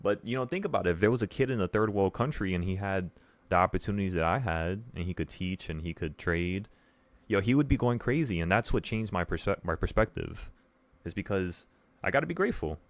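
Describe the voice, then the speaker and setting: A young man with a low voice hosts a casual livestream. He speaks earnestly and loosely to his viewers, wandering through his thoughts and repeating phrases to stress them. The sound is dry, with hardly any room in it. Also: animated, a little fast, no echo. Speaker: livestream host, options trading teacher.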